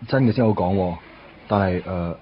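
A man's voice: low voice, moderate pace, muffled recording, a little hiss or hum, lightly acted. A man with a Hong Kong Cantonese accent, acting a mild, hesitant young bachelor in a radio drama, casual and questioning. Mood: hesitant, curious. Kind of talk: naturalistic radio drama dialogue.

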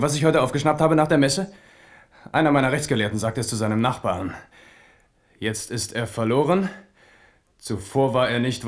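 A man's voice: mid-range voice, natural voice. An actor playing a brooding, bitterly amused French king in a German radio drama.

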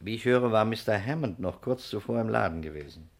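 An actor playing a calm, polite and methodical male investigator in a 1960s German radio crime play. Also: fairly low pitch, a little fast. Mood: polite questioning. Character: calm, methodical male fire investigator, busy and slightly absent-minded. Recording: noisy 1960s radio recording.

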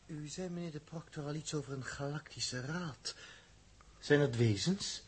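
Indignant, very husky space crewman. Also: on the high side, normal speed. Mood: probing. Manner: challenging, blunt, agitated. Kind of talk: 1960s radio drama acting.

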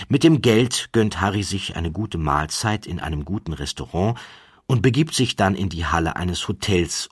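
A man reading a literary story as a narrator, measured, expressive and slightly wry. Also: low voice, breathy edge, no echo, clean studio sound. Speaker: audiobook narrator.

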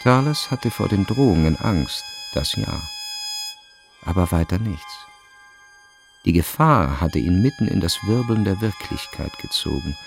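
A man narrates a literary radio drama in a low, clearly creaky voice. His phrasing is deliberate and dramatic, and music plays beneath it. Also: very animated.

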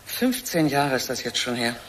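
A woman's voice: extremely low pitch, very animated, moderate pace, far from the mic, slight background noise, rough recording. An older woman playing a scolding, reproachful mother of a grown daughter in a radio drama. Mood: needling. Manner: scolding, accusatory.

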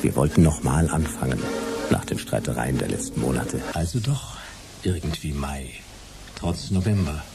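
Very deep, creaky hard-boiled detective. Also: male voice, animated, moderate pace, full character voice. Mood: wry, laconic. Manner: wry, laconic. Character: hard-boiled, grumpy, nostalgic male private detective of the future. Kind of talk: German radio play, first-person noir narration. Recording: loud music behind the voice.

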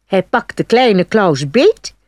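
A female storyteller reads a scripted children's fairy tale. Her voice has a husky edge and moves animatedly up and down, captured close and dry, with a dramatic read-aloud delivery. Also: medium pitch, very animated, drawn-out words, a little mellow, no room sound.

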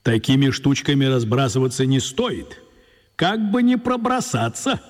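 A man's voice: mid-range voice, sing-song, unhurried. An actor playing a grave, knowing man in a children's radio drama. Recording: long hall-like reverb.

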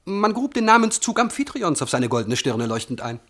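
An actor playing an insolent, bullying impostor servant in a classical verse radio comedy, declaiming imperiously and emphatically. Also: male voice, high voice, very animated, a little fast, a bit bright, exaggerated voice. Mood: assured.

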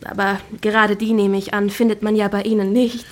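An actress playing a witty, spirited young Regency gentlewoman in a radio drama, articulate, playful and ironic. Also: mid-range voice, flat delivery, fairly quick, lightly acted. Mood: ironic, challenging. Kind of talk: naturalistic German radio drama acting.

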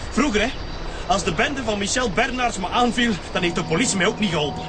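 A man's voice: high voice, quick pace, noisy background, close to an everyday voice. A Flemish man playing a bitter, desperate, cornered fugitive in an emotional radio drama. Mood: defiant, bitter. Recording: background music under radio drama dialogue.

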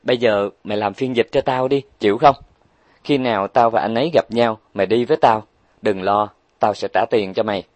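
A man voicing a brash, boastful young woman who is rich and bossy, talking loudly and bragging. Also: fairly low pitch, a little fast, dry recording, natural voice.

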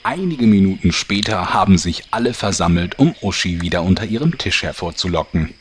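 A man's voice: low-pitched, expressive, a little fast, slight background noise. A man reading scripted narration for a parody audio drama.

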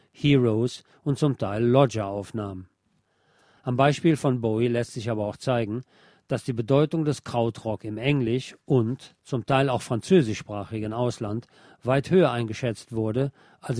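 A man reads a nonfiction book as a narrator, in a measured, formal way. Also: medium pitch, warm tone, no echo, clean studio sound. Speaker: narrator and audiobook reader of a radio programme.

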